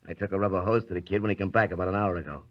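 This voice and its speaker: A fast-talking radio-play henchman. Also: low voice. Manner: colloquial tough-guy talk. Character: sly, thuggish henchman loyal to his boss. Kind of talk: 1930s radio drama acting. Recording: muffled old 1930s radio recording.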